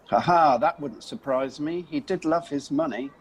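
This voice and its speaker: A man's voice: fairly high pitch, normal speed, slight background noise, natural voice, low-quality recording. A man playing a dismissive gossip in an amateur radio play. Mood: dismissive, gossipy. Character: gossiping, disdainful acquaintance of a dead miser. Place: at home, recorded over video call.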